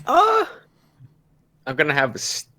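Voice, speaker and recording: A man's voice: medium pitch, normal speed, a bit bright. A man playing a tabletop roleplay game with casual, improvised banter.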